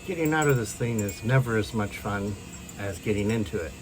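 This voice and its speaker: A man's voice: lowish voice, a little fast, music in the background, poor audio. A man giving a casual, matter-of-fact how-to explanation to camera as a vlogger, working in a cramped space. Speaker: boat DIY vlogger. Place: cramped interior of a boat in a yard.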